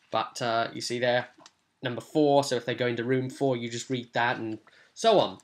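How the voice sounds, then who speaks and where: A man giving an informal, chatty walkthrough as a tabletop game master, with animated asides. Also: medium pitch.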